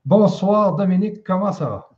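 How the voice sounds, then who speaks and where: A man with a Quebec French accent opens and guides an online conference in an easy, informal way, leaning on fillers. His voice is dark and mellow, and the call audio sounds dull and muffled. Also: high voice, normal speed. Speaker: host.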